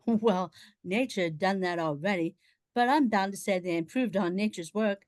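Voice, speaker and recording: A woman playing a breezy, teasing upper-class gentleman in a comic period radio play, jaunty and mocking with posh old-fashioned diction. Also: mid-range voice, unhurried, no room sound, studio quality, lightly acted. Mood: gleeful mockery.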